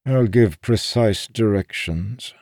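A man voicing a sly old captive in an audiobook, affable yet menacing, smooth and calculating in manner. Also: on the low side, takes it slowly, a little mellow, no echo, clean studio sound, pitched above the performer's own voice. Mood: pleasantly calm. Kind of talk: dramatic audiobook character reading.

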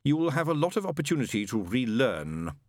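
A man performs a storybook messenger of heaven, pompous and imperious. He speaks with formal, stately insistence in a mid-pitched voice, recorded dry and close. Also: very animated, a little fast, no room sound, natural voice.

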